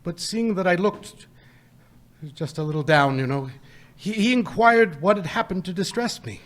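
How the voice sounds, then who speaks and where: An actor playing a gentle, poor father who speaks tenderly to his family about his lost little son in a live radio play. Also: high-pitched, expressive, moderate pace. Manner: tender, soft, choked-up.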